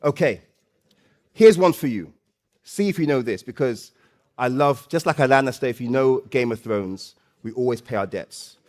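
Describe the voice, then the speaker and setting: A man hosting a live stage event, loud and playful, coaxing the audience and often repeating phrases. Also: medium pitch, animated, moderate pace. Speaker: event host and MC.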